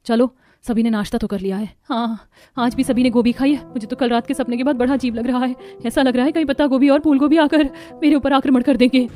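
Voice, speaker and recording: Woman playing young cartoon housewife, Indian accent, highish voice, speedy, fretful, fed-up, earnest. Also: steady tone, fast talker, warm tone, soft background music, lightly acted. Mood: uneasy. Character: anxious, fed-up young daughters-in-law in a family cartoon. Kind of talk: animated moral-story voice acting.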